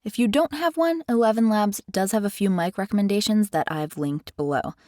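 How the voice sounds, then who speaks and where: A woman's voice: mid-range voice, fairly quick, darkish tone, no room sound, studio quality. An American woman hosting a chatty, curious tutorial from her bedroom. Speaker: tutorial host. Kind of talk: casual explainer. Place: carpeted bedroom. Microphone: headset mic.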